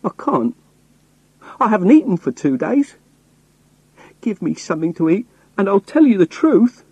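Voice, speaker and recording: A man voicing a hard-up sailor in a story, rough, pleading and defiant as he protests. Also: mid-range voice, big pitch swings, normal speed, a little mellow, no room sound, muffled recording. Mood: desperate begging.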